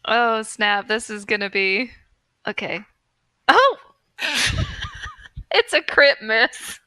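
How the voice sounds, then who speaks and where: A woman's voice: a little high, sing-song, slow, sharp tone, dry recording. A woman chatting casually and reactively as a player in a tabletop game. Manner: informal, reactive. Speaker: player and podcast co-host.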